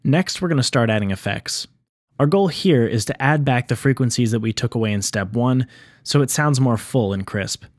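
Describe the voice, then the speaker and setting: A man delivers scripted explainer narration as a video host. His pitch is mid-range for a man. The sound is dry, with no room to it. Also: lively intonation, normal speed, dry recording. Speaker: video essay host on game sound design.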